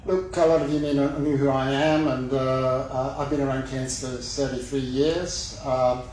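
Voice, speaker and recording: A middle-aged man with a west of Scotland accent giving a halting campaign speech at a lectern, with many fillers and self-deprecating jokes. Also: mid-range voice, little pitch movement, a little mellow, slight background noise. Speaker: mayoral candidate. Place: large echoey sports hall. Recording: very echoey hall recording from far off.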